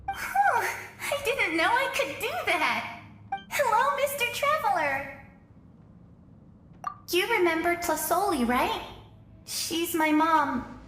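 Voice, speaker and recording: A woman's voice: very high voice, animated, slow pace, pauses often, a bit bright, echoey room, distant mic, soft background music, exaggerated voice. A woman voicing a sweet, brave little girl in a video game, speaking in an earnest, childlike way. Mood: excited, polite, then sad, hesitant.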